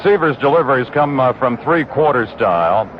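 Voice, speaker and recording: American baseball announcer on noisy vintage radio. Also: male voice, medium pitch, expressive, moderate pace, highs cut off. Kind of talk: live radio sports play-by-play. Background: loud stadium ambience.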